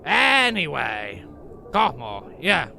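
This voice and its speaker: A man's voice: fairly high pitch, huge pitch swings, very slow, gravelly, noisy background, full character voice. A man playing an irritable, boastful, foul-mouthed rogue who peddles potions in a comedy fantasy audio drama. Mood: boastful, rambling. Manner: cocky, grumbling, rambling.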